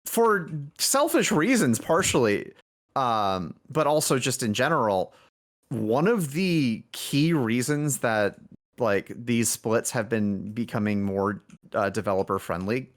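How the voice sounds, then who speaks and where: A man's voice: mid-range voice, animated, slow. A man chatting casually as a podcast guest, rambling and often correcting himself mid-sentence. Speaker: indie game developer, podcast guest.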